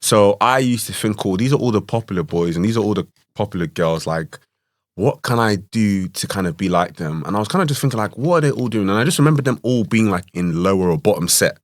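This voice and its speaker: Low-voiced young man, chatty podcast host. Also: fast talker, no echo, clean studio sound. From Ireland. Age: 20s. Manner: chatty, animated storytelling with many fillers. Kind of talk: casual podcast chat.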